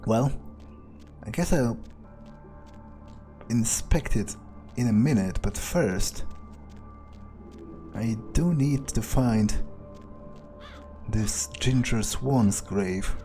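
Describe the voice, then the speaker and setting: A man's voice: mid-range voice, expressive, very slow, pauses often, dark tone, soft background music. A man thinking aloud in character while playing a video game, musing and casually profane. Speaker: let's-play gamer speaking in character.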